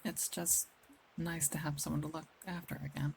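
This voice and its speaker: A woman voicing a caring, protective female werewolf in an intimate ASMR roleplay, speaking gently and confidingly with pauses. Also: low-pitched, level tone, vocal fry, a little hiss or hum. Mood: tender, reassuring. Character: caring, protective female werewolf, older than she looks, wistful. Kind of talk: ASMR roleplay, intimate scripted acting addressed to one listener.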